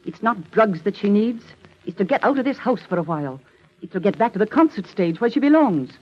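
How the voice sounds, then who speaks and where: Swooping, blunt older aunt. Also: female voice, medium pitch, big pitch swings, fairly quick. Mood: indignant, blunt. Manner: emphatic, outspoken. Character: blunt, meddling older aunt, outspoken and protective. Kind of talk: 1940s radio acting. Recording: dull, band-limited old radio recording.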